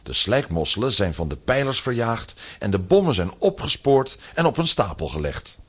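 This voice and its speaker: A man narrating a children's radio play from a script, with a husky voice and animated storytelling. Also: mid-range voice, normal speed.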